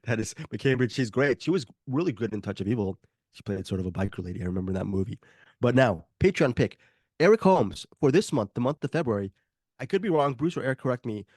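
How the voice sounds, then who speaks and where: A man hosting a movie podcast over a video call, hoarse from a cold, joking and laughing through rambling questions to his co-hosts. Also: mid-range voice, expressive, fast, a little mellow, no echo. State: raspy voice from a cold. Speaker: podcast host.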